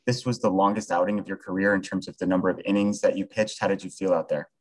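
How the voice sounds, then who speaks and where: Deep-voiced American male reporter. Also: level tone, fast, darkish tone. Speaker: sports reporter. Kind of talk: press conference questions.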